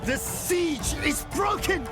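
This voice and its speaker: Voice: extremely high pitch, lively intonation, takes it slowly, heavily acted. An actor playing a fervent samurai officer in a video game cutscene, declaiming urgent battle reports to a lord. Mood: triumphant. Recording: loud music behind the voice. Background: loud cinematic music.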